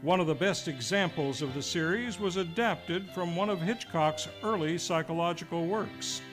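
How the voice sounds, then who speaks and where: High-voiced theatrical male radio announcer over music. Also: moderate pace. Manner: grand, florid showman delivery. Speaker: series host, announcer. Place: radio show performed live in a theater.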